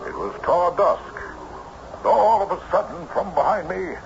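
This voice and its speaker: High, animated old-time storyteller. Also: male voice, creaky, dull audio, full character voice. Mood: ominous, confiding. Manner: old-fashioned, deliberate storytelling phrasing. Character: loquacious male country teller of eerie tales, weary traveler. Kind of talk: vintage radio drama acting. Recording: noisy band-limited old radio recording.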